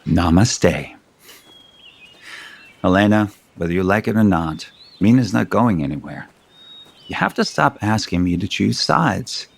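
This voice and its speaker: Deep-voiced, wry man. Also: animated, measured pace, gravelly, long pauses, husky, exaggerated voice. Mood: firm. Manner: bantering, unruffled. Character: wry, teasing man sparring with an old flame. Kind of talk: audio soap opera acting.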